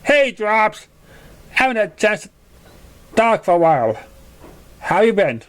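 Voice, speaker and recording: A man putting on a breezy, higher-pitched pegasus mare. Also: big pitch swings, measured pace. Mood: breezy, friendly. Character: friendly, breezy female pegasus pony, playful.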